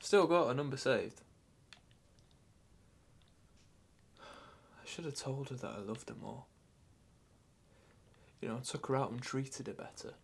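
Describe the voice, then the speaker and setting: A man's voice: medium pitch, moderate pace, slight background noise, close to an everyday voice. A man playing a bitter, grieving grandchild in a raw, conversational film monologue. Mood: regretful. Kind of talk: dramatic monologue in a short film.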